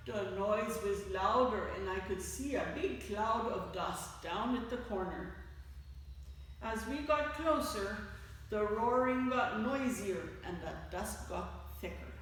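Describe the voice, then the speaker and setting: A woman reading a picture book aloud to children, with expressive, deliberate phrasing that stresses key words. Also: medium pitch. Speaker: children's story reader. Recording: echoey hall, distant mic, faint noise.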